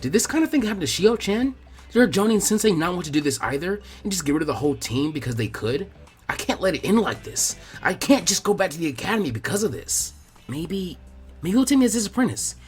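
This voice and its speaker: A man voicing a brash, loud, indignant boy ninja trainee in a story reading, impulsive and a little whiny. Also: sits high, very animated, fast talker, faint music behind. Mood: worried, determined. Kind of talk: acted fan fiction reading.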